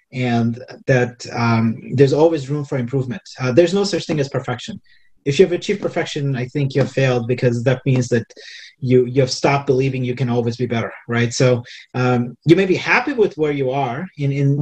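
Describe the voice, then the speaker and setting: A man's voice: medium pitch, darkish tone. A man whose first language is Hindi, a venture investor answering podcast interview questions in a thoughtful way, with many fillers and restarts. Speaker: venture capital investor, guest.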